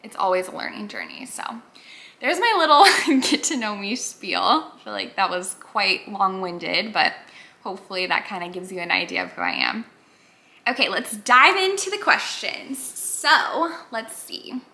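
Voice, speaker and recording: Bright, bubbly young woman vlogger. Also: mid-range voice, animated, unhurried, gravelly. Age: 20s. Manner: bubbly, chatty, frequent "like" fillers and giggles. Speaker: YouTuber. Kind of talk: casual get-to-know-me vlog chat.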